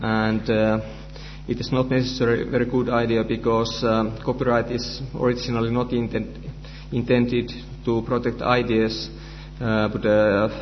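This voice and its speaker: A Finnish-accented man, a native Finnish speaker, presents at a conference, halting and full of fillers and restarts, his voice staying flat in pitch. It is a dull recording with long reverb from a hall, and a faint hum sits underneath. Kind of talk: conference lecture.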